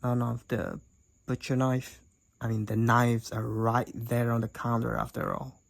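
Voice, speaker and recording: A woman's voice: a bass voice, level tone, a little slow, heavily acted. A woman playing a cocky, teasing demoness college roommate and gamer in a roleplay audio drama, with a wry, theatrical delivery. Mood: amused, sardonic. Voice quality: raspy, creaky. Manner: teasing, wry, theatrical, addresses the listener as Sugar.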